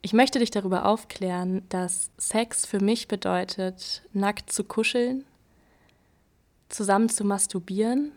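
A woman performing a scripted spoken-word monologue, measured and listing one phrase after another. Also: mid-range voice, darkish tone. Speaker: performer in an educational audio play.

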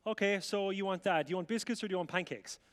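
A man's voice: high-pitched, quick pace, pitched above the performer's own voice, lightly acted. An Irish man voicing a fussy, polite young waitress who rattles off endless menu options. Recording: reverberant hall sound.

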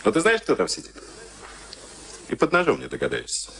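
An actor in a Soviet radio play, playing a sadistic, smug SS camp officer with a taunting, mock-polite manner. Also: male voice, a little high, huge pitch swings, fairly quick, creaky, fairly bright tone, exaggerated voice. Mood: commanding, taunting. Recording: noisy archival radio drama recording.

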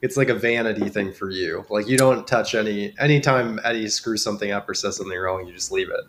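A man's voice: fairly low pitch, fast, fairly bright tone. A man with a nasal, congested voice from a cold, chatting casually and dryly as a podcast guest. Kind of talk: casual chat. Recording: clearly noisy background.

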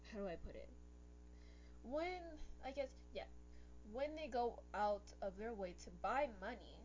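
Young woman podcast host, a bit hoarse, rambling, self-correcting chatter; slightly muffled take with a faint hum. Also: medium pitch, a little mellow. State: losing her voice, slightly hoarse. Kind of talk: casual podcast chat.

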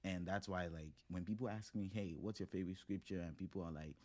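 Deep-voiced, earnest man in his thirties. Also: fast, a little mellow. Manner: earnest, builds points in short phrases. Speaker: podcast guest. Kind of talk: casual, motivational conversation.

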